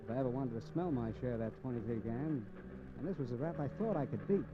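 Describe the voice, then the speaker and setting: An actor playing a cocky, scheming crook in a 1950s radio crime drama, slangy and sardonic. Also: male voice, medium pitch, fast, noisy background, lightly acted. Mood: cynical narration. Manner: hard-boiled, slangy, sardonic. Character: cocky, cynical, scheming male crook narrating his crime. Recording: muffled old 1950s radio recording.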